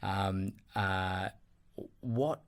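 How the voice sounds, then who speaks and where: A man's voice: sits low, very slow, clear, resonant tone. A man hosting a podcast interview, effusive and full of fillers and restarts. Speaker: podcast host. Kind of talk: casual interview chat.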